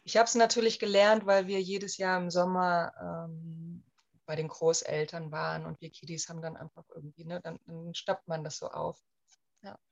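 A woman's voice: lowish voice, moderate pace, creaky. A woman from Germany in her thirties, chatting casually as an interview guest with lots of fillers. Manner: chatty, many fillers, lively retelling. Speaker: guest, emigrant. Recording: slightly dull lo-fi sound.

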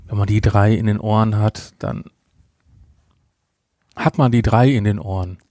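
A man's voice: on the low side, fairly even intonation, measured pace, dark tone, no room sound, clean studio sound. A man hosting a podcast in an informal, conversational way, addressing listeners directly. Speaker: podcast host. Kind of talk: casual chat, reviewing an audio drama.

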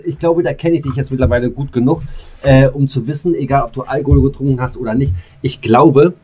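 A man's voice: mid-range voice, fast, warm tone, breathy edge. A man co-hosting a podcast in loose, casual banter, talking in slang with mild swearing. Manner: slangy, mildly cursing. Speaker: podcast co-host. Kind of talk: casual banter between friends.

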